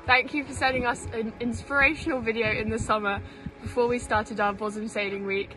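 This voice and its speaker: A woman's voice: on the high side, fairly even intonation, fairly quick, music in the background, low-quality recording. A teenage girl, the cadet captain of a sailing club, giving an earnest, polite video message outdoors by a harbour. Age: teens.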